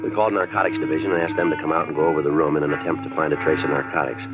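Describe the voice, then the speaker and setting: An actor playing a terse, deadpan police detective who narrates and questions suspects in a 1950s radio crime drama. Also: male voice, extremely low pitch, racing. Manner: clipped, deadpan, flat narration. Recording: lo-fi 1950s radio recording with music.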